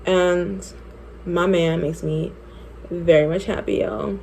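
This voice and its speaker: A woman's voice: fairly low pitch, fairly even intonation, slow, a little mellow, slight background noise, low-quality recording. A woman in her twenties telling a personal story while doing her makeup, chatty and candid, laughing often. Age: 20s. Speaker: beauty vlogger.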